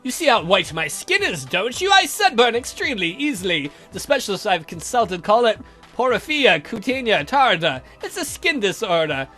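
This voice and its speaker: A man's voice: sits high, very animated, moderate pace, sharp tone, faint music behind, full character voice. A man voicing a grumpy, defensive old vampire count from a visual novel, indignant, dry and given to swearing. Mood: dry, matter-of-fact.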